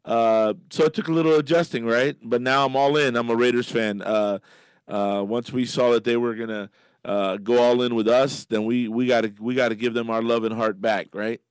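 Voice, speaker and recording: A man's voice: medium pitch, fairly even intonation, normal speed, smooth, no room sound, rough recording. A middle-aged man from Miami, a guest on a radio show, talking emphatically with many fillers and restarts. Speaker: radio guest, business leader. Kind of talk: casual radio interview. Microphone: studio microphone.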